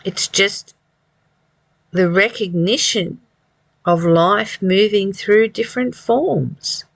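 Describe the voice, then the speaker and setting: Someone reading spiritual stuff really slowly, reflective, kinda halting, adds own casual thoughts, very dry sound. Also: medium pitch, creaky, no room sound, rough recording. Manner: reflective, halting, repeating phrases. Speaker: reader and commentator of a spiritual study. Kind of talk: slow reading aloud with informal spiritual commentary.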